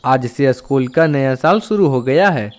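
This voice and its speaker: A man's voice: mid-range voice, expressive, measured pace, a little hiss or hum, close to an everyday voice. A man voicing a crow school principal in a cartoon, formal and instructive as he addresses pupils. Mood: instructive, firm. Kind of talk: Hindi cartoon voice acting.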